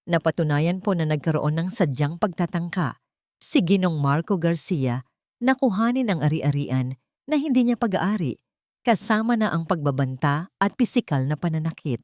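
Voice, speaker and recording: A solemn judge in a story drama, voiced by a woman, speaking formally and with measure. The voice is slightly low, and the sound is very dry. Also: darkish tone, no room sound, lightly acted.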